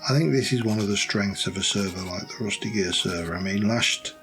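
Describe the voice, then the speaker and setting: Low gravelly guy, voice going hoarse, chatting thru his game build, faint music behind. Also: brisk, a bit bright. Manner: rambling, thinking aloud while building. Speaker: let's play host. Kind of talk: casual gameplay commentary.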